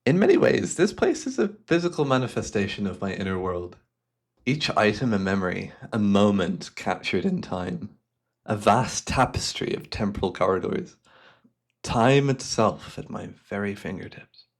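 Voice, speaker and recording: A man playing a devious, cultured hypnotist and collector in a fantasy ASMR roleplay, speaking in florid, theatrical phrases with a softly coaxing manner. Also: on the low side, very animated, moderate pace, vocal fry, full character voice. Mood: proud, musing.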